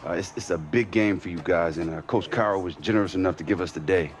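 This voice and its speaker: A man's voice: deep voice, quick pace, close to an everyday voice. A man playing a polite, humble young quarterback in a game cutscene, talking casually and a little hesitantly. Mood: friendly. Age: young adult. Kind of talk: naturalistic game cutscene acting. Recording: roomy echo, music under the voice.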